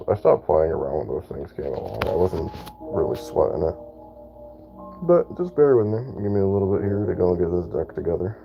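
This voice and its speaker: A man with a raspy voice chatting casually while streaming gameplay and reading out viewer comments. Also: deep voice, dull audio. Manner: laid-back, chatty, reads out chat comments. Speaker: gaming streamer.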